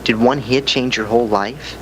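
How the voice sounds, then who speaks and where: A man's voice: mid-range voice, steady tone, moderate pace, natural voice. A male actor playing a sullen, curious teenage boy with a casual, terse way of talking in film dialogue. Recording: very noisy old film soundtrack.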